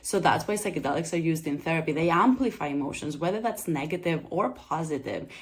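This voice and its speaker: Lady coaching on her channel, kinda low voice, earnest and reassuring, stretches words for emphasis. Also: lively intonation, a little fast. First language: Greek. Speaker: psychedelic preparation coach and channel host. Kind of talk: talking to camera, explanatory vlog.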